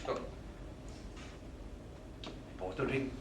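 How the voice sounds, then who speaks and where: An actor playing a volatile, violent jilted man. He swears heavily and swings from hushed confiding to roaring rage. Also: takes it slowly, background noise, lightly acted. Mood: tender. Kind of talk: theatrical monologue. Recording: echoey room, distant mic, music behind.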